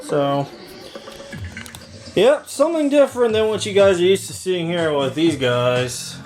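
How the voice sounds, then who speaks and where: A man's voice: high-pitched, animated, a little slow, darkish tone, faint music behind. A man talking informally in a hands-on product demo vlog, rambling a little and correcting himself as he goes. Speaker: video maker reviewing audio gear.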